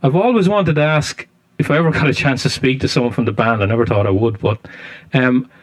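A man's voice: mid-range voice, fairly quick, no echo. A man with an Irish accent interviewing a musician on the radio, asking long, rambling questions with plenty of fillers. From Ireland. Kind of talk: casual interview chat.

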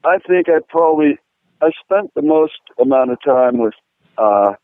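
A man from Pittsburgh, a guitar-playing guest, telling rambling, animated stories with lots of fillers. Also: a little high, normal speed, no room sound. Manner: rambling, animated, fillers, laughs at own jokes. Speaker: guest musician, guitar player. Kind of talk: casual storytelling interview. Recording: tinny phone-line sound, dry.

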